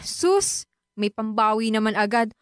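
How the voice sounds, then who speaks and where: An actress playing a dutiful, hurt young working daughter in a Filipino radio drama, earnest in manner. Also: female voice, a little high, animated, a little slow, clean tone, no room sound, clean studio sound. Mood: gentle, casual. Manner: earnest, pleading.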